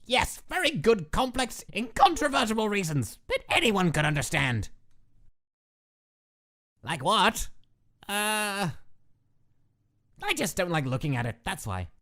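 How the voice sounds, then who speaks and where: Woman playing boastful male skeleton monster, naive, pompous, emphatic, animated pitch, comic dub. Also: unhurried, long pauses. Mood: evasive, uneasy. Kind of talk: scripted comic dub acting.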